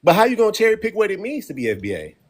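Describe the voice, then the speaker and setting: A man's voice: sits high, huge pitch swings, rapid-fire. A man hosting a casual debate on a phone livestream, talking bluntly in profane street slang.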